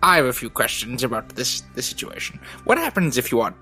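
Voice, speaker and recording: A man's voice: mid-range voice, very animated, brisk, music in the background. A man playing a tabletop game, asking methodical questions to work through the situation. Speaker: player. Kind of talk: casual tabletop roleplay.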